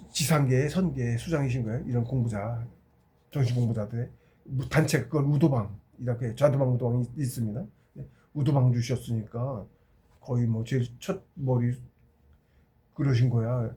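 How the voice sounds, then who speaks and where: A man in his sixties speaks in a soft-edged, mellow voice at an ordinary pitch. He talks informally, like someone recounting old stories, and often halts, circles back and repeats a phrase before moving on. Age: 60s. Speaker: spiritual practitioner giving a talk. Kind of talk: rambling informal monologue telling anecdotes.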